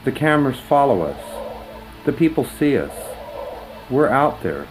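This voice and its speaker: A man delivers an earnest, measured address. His voice sits at an ordinary pitch, in short declarative phrases, with music playing behind him. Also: sing-song, rough recording. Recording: clear music under the voice.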